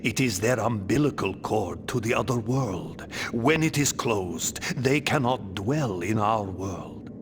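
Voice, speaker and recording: Slow, solemn ancient vampire elder. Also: male voice, on the low side, expressive, background noise. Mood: grave. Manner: measured, formal, portentous delivery. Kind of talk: theatrical fantasy game cutscene acting.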